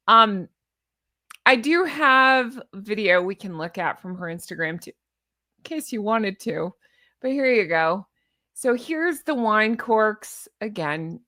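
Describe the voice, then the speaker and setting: A woman's voice: mid-range voice, sharp tone, dry recording, clean studio sound. A woman hosting casual, unscripted commentary on social media posts, chatty and rambling, with a sarcastic, mocking, exasperated manner. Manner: chatty, rambling, often breaking off to laugh at her own jokes. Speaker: commentary show host.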